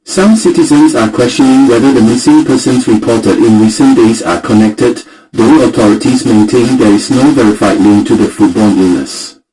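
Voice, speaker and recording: A man's voice: medium pitch, normal speed, few pauses, mellow, faint music behind, natural voice, rough recording. A man playing a formal, serious correspondent delivering a scripted radio news report.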